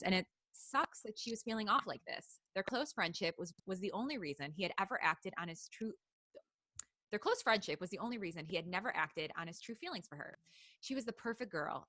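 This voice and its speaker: A woman podcast host reads fan fiction aloud and keeps breaking into laughter and side jokes. Her voice is bright and clear. The recording is dry, with no room echo. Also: mid-range voice.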